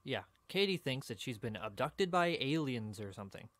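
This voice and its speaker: A young male voice with lively, swooping pitch, a man acting a sceptical student in an audio drama. He sounds dismissive and weary, easily exasperated. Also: normal speed.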